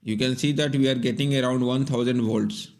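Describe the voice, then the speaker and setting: Man, medium pitch, scripted tutorial narration, methodical, instructive, plain delivery. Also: fairly even intonation, brisk, a little mellow.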